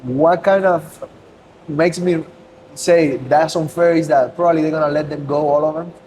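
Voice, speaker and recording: A man's voice: a little high, fairly even intonation, moderate pace, a little mellow. A young man, talking earnestly as a podcast guest in a loose, casual way and slipping into Spanish now and then. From Cuba. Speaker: guest, business owner. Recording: noisy ambience.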